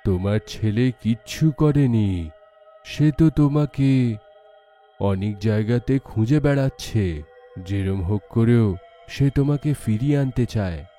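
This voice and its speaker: A man voicing a solemn god who grants vengeance in a story, speaking in halting, commanding phrases. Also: mid-range voice, lively intonation, dark tone, faint music behind, lightly acted.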